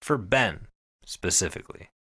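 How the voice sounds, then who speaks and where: A man's voice: sits low, lively intonation, normal speed, pushed and bright, husky. A man hosting a podcast, telling a story in casual, emphatic chat with plenty of swearing. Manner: profane, emphatic, repeats phrases for effect.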